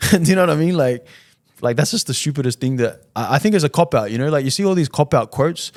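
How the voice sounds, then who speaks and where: A man's voice: a little high, lively intonation, fast, a little mellow, dry recording, studio quality. A man from Fiji chatting casually as an interview guest, in slangy, informal speech full of "man" and "you know". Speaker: podcast guest, entrepreneur. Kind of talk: casual chat.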